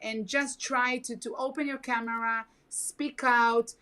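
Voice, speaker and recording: A woman who grew up speaking Hebrew talks earnestly over a video call. Her voice sits a little high, and her phrasing is halting and non-native. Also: fairly even intonation, sharp tone. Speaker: guest. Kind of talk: casual video chat.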